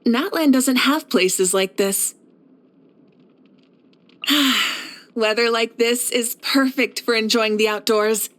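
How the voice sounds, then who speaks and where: A woman playing a confident, kindly fire archon and war leader in a video game, speaking in an assured, measured, encouraging way. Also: a little high, a bit bright. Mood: warm, easygoing. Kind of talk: scripted video game character voice lines.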